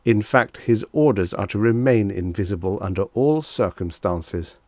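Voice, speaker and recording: A man voicing a formal, earnest novice agent of death in a story reading, with a stiff, polite, measured delivery. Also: fairly low pitch, animated, darkish tone, dry recording, lightly acted. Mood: solemn, composed.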